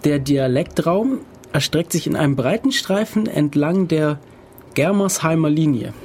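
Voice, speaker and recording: A man's voice: a little high, a little mellow. Brief: male podcast host, casual, reads text aloud with stumbles, faint noise behind. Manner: reads aloud haltingly, stumbles over words.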